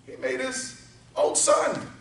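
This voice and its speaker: A man preaching a sermon, moving from quiet teaching up to shouted exhortation. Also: very high-pitched, little pitch movement, slow. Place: church sanctuary. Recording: echoey church hall, voice from far off.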